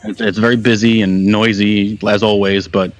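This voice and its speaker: A man's voice: on the low side, level tone, moderate pace. A man, a podcast guest calling in from work, talking in a laid-back, offhand way. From Staten Island, New York. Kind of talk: casual chat. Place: at work, over Skype. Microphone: internet call.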